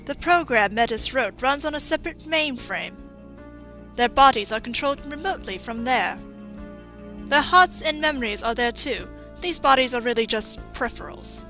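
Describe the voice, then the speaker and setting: Casting: woman as embittered female inventor, highish forceful voice, biting delivery, game fan dub. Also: animated, moderate pace, faint music behind. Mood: cool, probing. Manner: mocking, brusque, biting. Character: bitter, sharp-tongued grieving female robot engineer.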